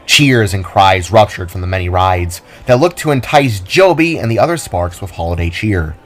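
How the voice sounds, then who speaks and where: A man's voice: deep voice, expressive, moderate pace. A man narrating his own audio drama in a descriptive storytelling style. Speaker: narrator and writer.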